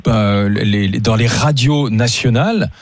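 A man's voice: lowish voice, a little slow, no room sound. A man hosting a radio panel discussion in a conversational style. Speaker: radio talk show host.

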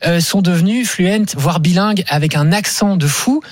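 A man guest on a French TV panel recounting a personal experience in a relaxed way. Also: high-pitched, moderate pace, no room sound, clean studio sound. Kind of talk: TV panel conversation.

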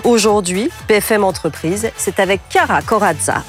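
A woman's voice: medium pitch, normal speed, faint music behind. A woman hosting a radio interview and presenting a guest. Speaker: host.

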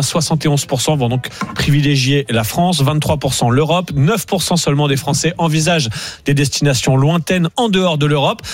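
A man delivering a radio news report full of figures, dropping a casual "hein" between phrases. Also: a little high. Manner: reads figures briskly, tags sentences with "hein".

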